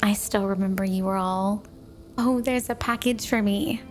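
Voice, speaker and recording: A woman's voice: medium pitch, steady tone, normal speed, soft background music, natural voice. A woman playing an obsessively devoted snow woman wife in a flirty ASMR roleplay, gushing and cooing with a sweet but possessive manner. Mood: playful, gushing. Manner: gushing, cooing, doting, dreamy.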